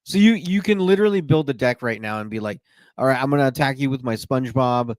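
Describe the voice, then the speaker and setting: A man's voice: medium pitch, fast. A man with a mid-range voice chats as a podcast co-host, explaining card game details with keen enthusiasm. The sound is close and dry, with no room echo. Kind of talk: casual chat.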